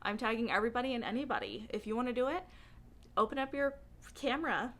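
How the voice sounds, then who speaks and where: Bright, high-voiced woman chatting casually. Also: fast. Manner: giggly, animated, informal and rambling. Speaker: book reviewer vlogger. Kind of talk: casual chatty book vlog.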